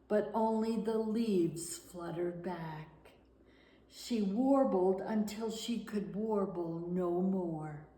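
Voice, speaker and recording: A woman reading a children's picture book aloud with deliberate, expressive storytelling. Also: mid-range voice. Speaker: guest storyteller. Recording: roomy, echoing room sound.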